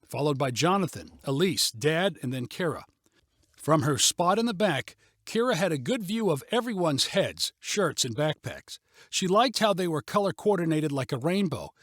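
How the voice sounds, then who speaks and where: Lively male story narrator, fairly high voice. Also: dry recording, clean studio sound. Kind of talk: scripted audiobook narration.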